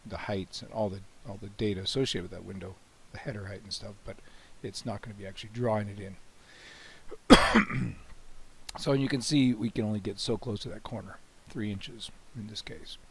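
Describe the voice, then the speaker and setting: Low-voiced guy w a cold demoing his plugin, hoarse, keeps clearing his throat, says you know a lot. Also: normal speed. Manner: relaxed, rambling, frequent "you know" and restarts. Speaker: software developer demonstrating his plugin. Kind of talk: screen-recorded software walkthrough.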